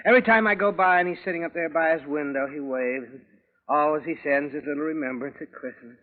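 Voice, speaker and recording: A tinny old radio recording of an actor as a friendly neighborhood druggist. The man's voice sits a little high, chatty and genial in 1940s radio-play manner. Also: quick pace, natural voice. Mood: genial, sympathetic. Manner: genial, talkative. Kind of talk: 1940s radio drama acting.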